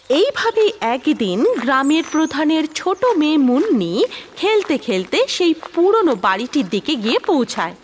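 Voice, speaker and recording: A woman's voice: high-pitched, slight background noise. A woman narrating a cartoon story in a sing-song storytelling way. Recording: music underneath.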